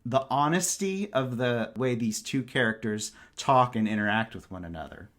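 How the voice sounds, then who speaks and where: A man's voice: medium pitch, animated, moderate pace, fairly bright tone. A man speaking as a podcast guest in a casual book discussion, enthusiastic and emphatic in his wording.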